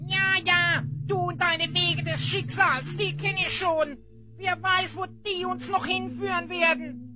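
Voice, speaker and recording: A woman's voice: very high-pitched, steady tone, measured pace. A woman playing a cheeky, boastful little creature in a fantasy audio drama, speaking theatrically and often in rhyme. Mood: grumbling. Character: cheeky small rhyming talking creature, mocking and boastful.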